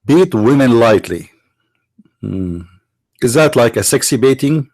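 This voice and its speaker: A man's voice: mid-range voice, animated, measured pace, a little mellow, no room sound. Sarcastic Arabic-speaking man, dry close sound. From Middle East. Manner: sarcastic, mocking rhetorical questions. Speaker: apologist speaker. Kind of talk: argumentative lecture.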